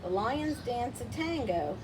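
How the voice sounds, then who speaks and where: A woman reading a children's picture book aloud in an expressive, deliberate storytelling manner. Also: on the high side. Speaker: storytime reader. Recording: distant mic, loud background noise.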